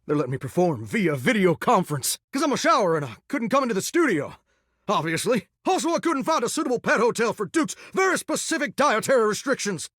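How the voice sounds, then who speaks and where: A man's voice: extremely high pitch, fairly bright tone, no room sound, studio quality, heavily acted. An actor voicing a vain, melodramatic male shower spirit who dreams of singing stardom, talking in a folksy, theatrical drawl for a video game. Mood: wounded, indignant. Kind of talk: video game character dialogue.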